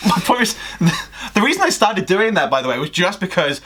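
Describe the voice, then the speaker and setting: A young man chatting casually and reading stories aloud in an animated, jokey way. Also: high voice, a little fast. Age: young adult. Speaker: co-host of a fan fiction video. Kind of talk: casual chat and story read-aloud. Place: small indoor room at home.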